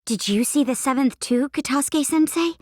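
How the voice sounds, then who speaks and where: A woman's voice: high-pitched, fairly quick, a little mellow, no room sound, clean studio sound, lightly acted. A woman playing an inquisitive, serious young girl ninja in an anime game, observant and questioning in her delivery. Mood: curious. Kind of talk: English anime game dub acting. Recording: music behind.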